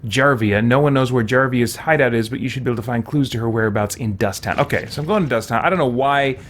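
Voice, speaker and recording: A man's voice: mid-range voice, quick pace, slight background noise. A man streaming a video game, commenting casually and thinking his way through it aloud.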